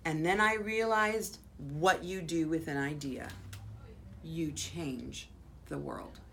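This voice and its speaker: An American woman, a school principal, reading a children's picture book aloud expressively, with dramatic pauses and drawn-out words. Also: low-pitched, creaky, fairly bright tone. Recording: faint background noise.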